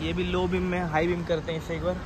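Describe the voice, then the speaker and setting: A man's voice: sits high, level tone, fast. A man giving an unscripted car sales walk-around, talking persuasively and dropping in English terms. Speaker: used-car dealer presenting a car. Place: outdoors by a roadside lot and inside a car. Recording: clear outdoor ambient and vehicle noise.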